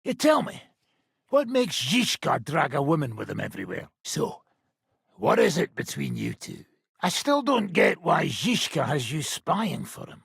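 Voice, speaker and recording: An actor playing a gruff, brash, middle-aged medieval mercenary nobleman in a video game, with a raspy, gravelly voice. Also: on the high side, wildly swooping pitch, measured pace, fairly bright tone, no room sound, studio quality, exaggerated voice. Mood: sardonic, gruff. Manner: brash, sardonic, swearing.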